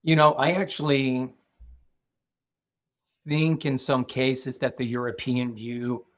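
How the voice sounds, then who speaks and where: A man's voice: mid-range voice, little pitch movement, fairly bright tone. A middle-aged man from Norway, a guest speaking thoughtfully in a podcast interview, often restarting his sentences. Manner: thoughtful, measured, with frequent restarts. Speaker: guest, security executive.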